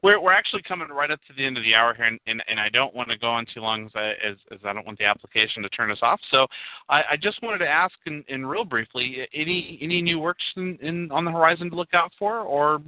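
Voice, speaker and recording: A man's voice: a little high, animated, no room sound. Guy hosting a call-in show, stumbles and repeats himself a lot, thin phone sound, super dry. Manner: halting, repeats words, rambling questions. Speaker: show host. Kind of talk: online radio interview. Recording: tinny phone line, dry.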